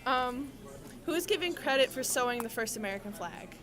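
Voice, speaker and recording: A woman's voice: a little high, expressive, a little fast, over loud music. A young woman interviewing people in a casual quiz, asking questions in an informal, giggly way. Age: young adult. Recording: crowd chatter behind, on location.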